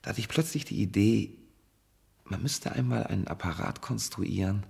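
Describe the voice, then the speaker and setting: An actor playing a haunted, obsessive inventor in a radio drama, speaking haltingly and intensely. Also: male voice, fairly low pitch, vocal fry, darkish tone, breathy edge, heavily acted. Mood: brooding, haunted. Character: haunted obsessive male inventor, pleading to retry his failed experiment. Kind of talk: 1970s German radio drama acting.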